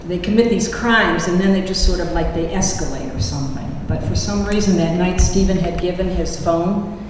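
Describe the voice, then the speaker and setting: A woman's voice: fairly low pitch, moderate pace. A woman poet speaking at a public reading of her work, recorded in a reverberant room. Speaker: poet reading from own book and taking questions. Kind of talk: poetry reading and audience question-and-answer. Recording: echoey hall, distant mic, faint noise.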